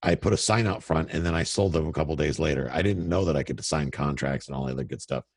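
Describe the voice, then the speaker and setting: A middle-aged man with a very deep, gravelly radio voice, telling candid, self-deprecating stories. His tone is husky, and the sound is close and dry with no room around it. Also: fast, no room sound. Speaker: real estate investor guest. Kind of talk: casual interview over a call. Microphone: remote call audio.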